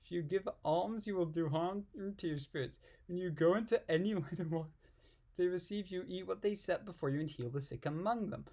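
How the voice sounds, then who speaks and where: A man's voice: sits high, a little fast, dark tone. A man reading aloud and commenting casually in an irreverent, giggly, puzzled manner. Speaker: amateur reader and commentator.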